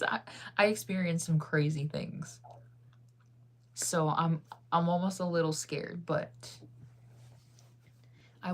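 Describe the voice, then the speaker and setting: A woman chatting casually with her viewers, rambling through stories with lots of fillers and frequent laughter. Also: deep voice. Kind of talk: casual chat.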